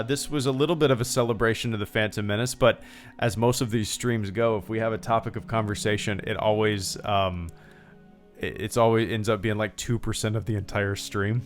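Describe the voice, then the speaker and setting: A man in his thirties hosting a casual livestream, rambling with lots of fillers and restarts and reading out thanks to viewers. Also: on the low side. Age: 30s. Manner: reads out viewer thank-yous. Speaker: livestream host.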